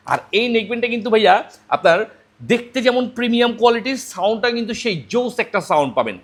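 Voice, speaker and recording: A man with a Bangladeshi accent giving a persuasive, repetitive promotional product pitch as a shop salesman. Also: a bit bright, slight background noise.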